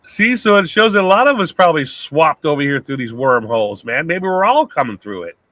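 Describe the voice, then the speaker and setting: Co-host, male, gruff voice, relaxed and profane, dry mic. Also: a little high, fairly quick, no echo. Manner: loose, laughs and swears casually. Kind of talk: casual live-stream chat.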